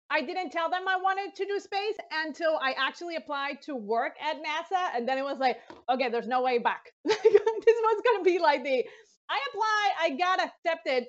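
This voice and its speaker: Woman with a high bright voice, talking fast and excited on a video call, a bit muffled. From Colombia. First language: Spanish. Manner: enthusiastic, animated storytelling with laughs. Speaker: NASA mission lead, guest. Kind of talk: interview.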